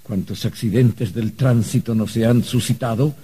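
A man's voice: medium pitch, fairly even intonation, moderate pace, mellow, breathy edge. An actor playing a fussy, prudish male bureaucrat of the afterlife in a comedic radio play, officious and condescending. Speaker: bureaucratic adviser. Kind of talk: comedic radio drama acting.

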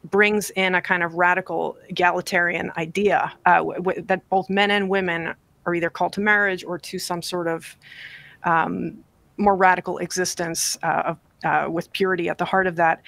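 A bright, clear-voiced woman in her forties talks as a guest author, thoughtful and measured, pausing with fillers as she builds her points. She is recorded dry and close on a desk mic in a home study. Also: lowish voice, no echo. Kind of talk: interview on radio show. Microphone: desk podcast mic on boom, video call.

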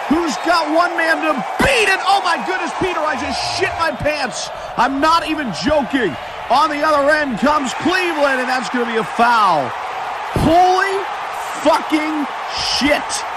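A man doing mock basketball play-by-play as a parody sports commentator, with a profane, bombastic announcer delivery. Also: very high voice. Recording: noisy, game crowd and court sounds. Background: basketball game ambience with bouncing ball.

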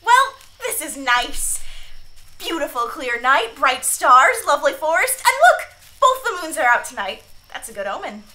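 Woman playing a bright, animated young teenage girl. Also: high-pitched, normal speed, exaggerated voice. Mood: eager, curious. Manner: breezy, talkative, hesitating with uhs. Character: chatty, bold, curious 13-year-old girl stowaway thief. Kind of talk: science fiction radio drama acting.

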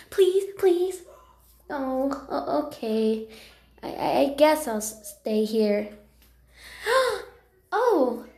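A childlike young kitten, voiced by a woman in an amateur animal drama, speaking in a high voice at an unhurried pace. The kitten is bashful but eager, tripping over words and pleading. The recording has a noticeable room echo. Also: full character voice. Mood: nervous, shy. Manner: hesitant, stammering, pleading. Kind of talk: amateur character voice acting. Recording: roomy, echoing home recording.

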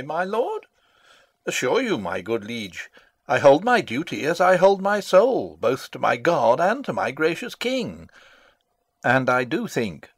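An actor playing a fussy, self-assured older royal counsellor in a classical drama reading, wordy and pompous. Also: male voice, medium pitch, no echo. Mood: self-satisfied.

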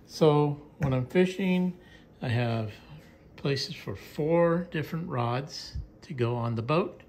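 Slow-talking man in his garage. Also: mid-range voice, slight background noise. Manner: slow, plain-spoken, frequent uh and um fillers. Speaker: video creator showing his modified boat. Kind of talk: casual walkaround show-and-tell. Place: indoor home garage.

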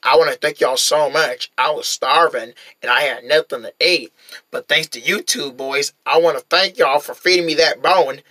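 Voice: medium pitch, normal speed, pushed and bright, no room sound. A performer voicing a polite, effusively thankful potato baby creature in a cartoon, with a folksy cowboy drawl. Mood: grateful. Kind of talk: cartoon voice acting.

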